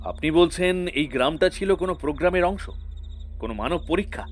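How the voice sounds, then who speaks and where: Male actor, earnest investigator, fairly high pitch, questioning, audio thriller, music behind. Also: expressive, fairly quick, natural voice. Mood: puzzled, earnest. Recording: music under the voice.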